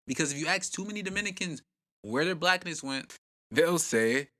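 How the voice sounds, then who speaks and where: A man's voice: a little high, lively intonation, normal speed, sharp tone. A man host narrating a scripted history documentary in a punchy, dramatic way with rhetorical questions.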